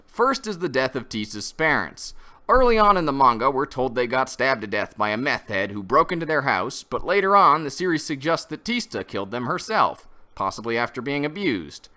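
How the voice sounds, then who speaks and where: Bright, animated man narrating a review. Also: mid-range voice, moderate pace. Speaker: reviewer, host. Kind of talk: scripted comic review narration.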